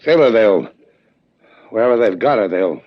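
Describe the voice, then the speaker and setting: Deep, battered, hard-boiled skipper. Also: male voice, expressive, moderate pace. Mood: pained, weary. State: in pain, worn out from a beating. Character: tough, battered, stubborn male boat skipper. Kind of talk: 1950s radio drama acting. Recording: dull vintage 1950s radio recording.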